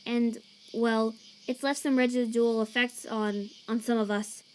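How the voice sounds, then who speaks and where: A woman voicing a nervous female monster scientist in a fan comic dub, earnest and anxious, with a halting stammer. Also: fairly high pitch, level tone, slight background noise, natural voice. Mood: hesitant, explanatory. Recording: chirping crickets and night ambience behind.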